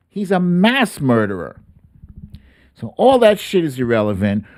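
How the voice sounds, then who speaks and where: Slow, animated middle-aged American man. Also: high voice, no echo, studio quality. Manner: blunt, emphatic, with rhetorical questions and repetition for emphasis. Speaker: host of a live political commentary show. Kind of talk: casual opinionated news commentary. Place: small indoor home studio. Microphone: close boom-arm studio microphone, headphones.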